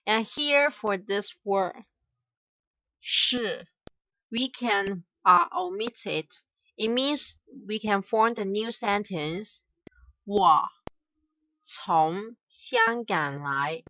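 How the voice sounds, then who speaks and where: Young woman tutor, very slow and halting, bright tone, dull dry lo-fi take. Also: mid-range voice, animated, dry recording. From Hong Kong. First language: Cantonese. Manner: hesitant, many filler "uh"s, careful repetition of example phrases. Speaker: language teacher. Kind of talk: slow explanatory grammar lesson. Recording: dull, slightly lo-fi dry recording.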